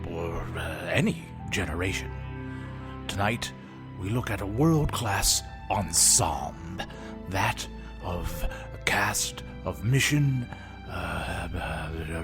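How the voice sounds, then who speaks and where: A man playing a pompous, self-important celebrity host in a parody of a highbrow interview show, theatrical and easily flustered. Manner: pompous, slow and theatrical, faltering. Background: music.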